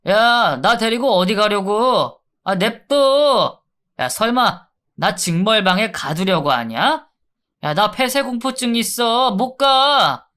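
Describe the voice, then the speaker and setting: A man's voice: high-pitched, sing-song, sharp tone, pitched above the performer's own voice, full character voice. A man doing a whining, sneering jailbird. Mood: mocking. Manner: taunting, then whining and begging with drawn-out "ah"s. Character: sneering, wheedling male convict in withdrawal.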